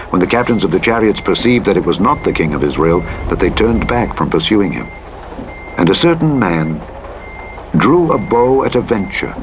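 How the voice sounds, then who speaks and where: A man narrates scripture in a solemn, formal style, with measured and dramatic delivery. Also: deep voice, muffled recording. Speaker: audiobook Bible narrator. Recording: loud truck engine noise over voice. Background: truck engine and vehicle sounds from driving simulator game.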